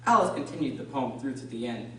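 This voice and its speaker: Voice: mid-range voice, animated, fast, close to an everyday voice. A narrator reading scripted storybook narration for an amateur radio drama. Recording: very echoey room, distant mic, noisy.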